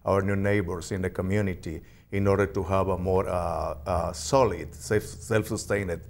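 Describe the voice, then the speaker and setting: A man from Peru with a Peruvian Spanish accent, giving halting, earnest answers as an interview guest. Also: low-pitched, fairly even intonation, vocal fry. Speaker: interview guest, ESOL program representative.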